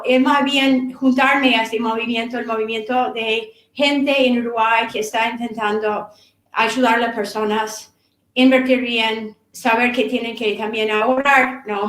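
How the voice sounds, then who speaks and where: Halting middle-aged woman in an echoey room. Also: fairly high pitch, a bit bright. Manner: halting, repeats words while searching for them. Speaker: author and course instructor. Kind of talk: seminar talk.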